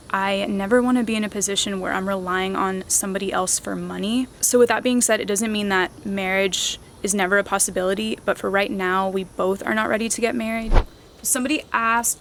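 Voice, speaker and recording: A thirty-year-old woman talks easily to her camera, working through viewer questions one by one. Her pitch sits in an ordinary middle range. A faint hiss of background noise stays under her voice. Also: fairly bright tone. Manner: chatty, reads out questions then answers at length. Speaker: vlogger answering viewer questions. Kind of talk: casual Q&A talk to camera.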